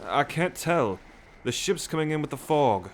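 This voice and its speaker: A man in an audio drama playing an alert ship's lookout, calling out sightings in a drawn-out way. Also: a little high, sing-song, a little hiss or hum. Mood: tense, alert. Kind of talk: cinematic audio roleplay acting.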